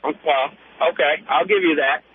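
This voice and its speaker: A man's voice: on the high side, normal speed, slight background noise. A man calling in to a radio show, talking casually in an insistent, defensive way. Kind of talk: casual phone-in conversation. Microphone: telephone. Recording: narrow tinny telephone line.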